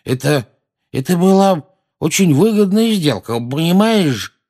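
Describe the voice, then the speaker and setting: A man's voice: a little high, animated, slow, pitched above the performer's own voice. A slovenly small-time male crook played by a male narrator, drawling and stammering in a raised voice. His talk is colloquial and shifty, a rogue from a storybook. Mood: sheepish.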